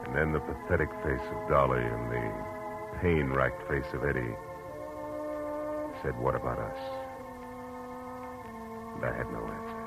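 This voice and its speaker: An actor playing a hard-boiled, world-weary but compassionate private detective in 1940s radio drama style. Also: male voice, very deep voice, measured pace, long pauses. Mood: somber, reflective. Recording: old radio recording with music.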